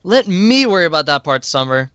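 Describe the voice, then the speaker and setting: A man's voice: on the high side, sing-song, fairly quick, a bit bright, clean tone, dry recording, lo-fi sound. A man playing a breezy, confident student music promoter in an amateur radio play. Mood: breezy, assured.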